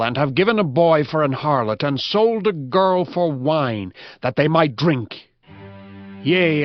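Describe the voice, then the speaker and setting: A male audiobook narrator delivers scripture in a solemn, dramatic style. His medium-pitched voice moves in measured, declamatory phrases. The recording is somewhat dull, and loud music plays underneath.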